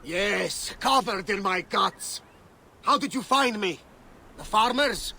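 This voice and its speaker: Over faint background noise comes a proud runaway gladiator from a video game. His voice is high, creaky and slow, with a blunt, bitter defiance. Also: bright, forceful tone, full character voice. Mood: wary, bitter.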